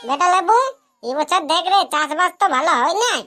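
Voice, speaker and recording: Man playing a grumpy high-voiced cartoon father. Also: brisk, pushed and bright, a little hiss or hum. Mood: reasoning. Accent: Purulia Bengali. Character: grumpy, scolding village father of grown son. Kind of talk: comic cartoon dialogue.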